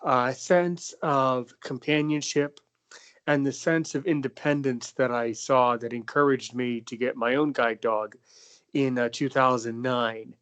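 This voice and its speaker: A young man hosts a casual podcast chat at a middle pitch. He rambles a bit, with plenty of fillers and restarts as he tells his story. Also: steady tone, measured pace, fairly bright tone, smooth. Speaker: podcast host.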